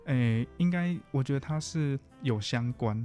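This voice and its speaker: A man's voice: mid-range voice, a little slow, mellow, faint music behind. A man with a Taiwanese Mandarin accent explaining things as a guest counselor, informal and somewhat hesitant, often repeating himself. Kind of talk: casual explanatory interview talk.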